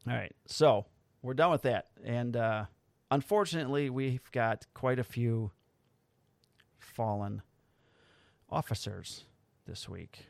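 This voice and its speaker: A man's voice: mid-range voice, slow, vocal fry, long pauses, dry recording. A man with a mid-range voice recounting a shift in casual, profane detail. He is blunt and wry, and the sound is dry and close, with no room behind it. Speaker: police officer and podcast host. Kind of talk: casual storytelling.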